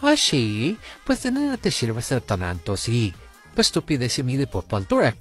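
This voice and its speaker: Short, smug puppet brother played by a man, fandub drama, mid-pitched and animated, sly mock politeness. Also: moderate pace, breathy edge, heavily acted. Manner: mocking, giggly, hesitant politeness. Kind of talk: amateur Spanish fandub roleplay acting. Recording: music under the voice.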